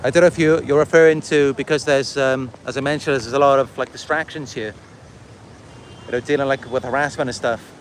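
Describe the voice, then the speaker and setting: A man from Wales with a Welsh accent, talking casually and a little haltingly in a rambling livestream monologue. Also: medium pitch, fairly even intonation, a little hiss or hum. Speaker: livestream host and content creator. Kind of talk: casual livestream monologue, answering viewer comments.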